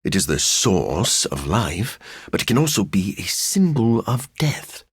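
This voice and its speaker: A weary, cerebral vampire scientist for a video game. His low-set male voice is husky and swings freely in pitch as he delivers formal, measured lines with dry gloom. The recording is close and dry. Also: very animated, no echo, heavily acted. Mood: brooding. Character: brooding vampire scientist man, cerebral, dryly weary antihero.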